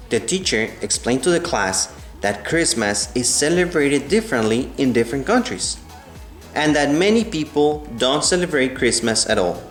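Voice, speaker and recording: A man reading a children's book aloud in a measured way, stumbling now and then. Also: mid-range voice. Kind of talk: storybook read-aloud. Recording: roomy echo, music behind the voice.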